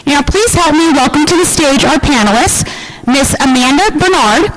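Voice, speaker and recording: A woman's voice: high-pitched, lively intonation, normal speed, low-quality recording. A woman emceeing a conference, introducing the panel in an upbeat announcer style. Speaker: event emcee. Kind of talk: scripted stage announcement.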